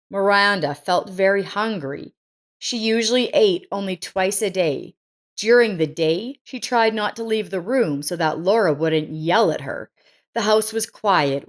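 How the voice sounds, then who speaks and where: Woman narrating a dramatic story. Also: fairly low pitch.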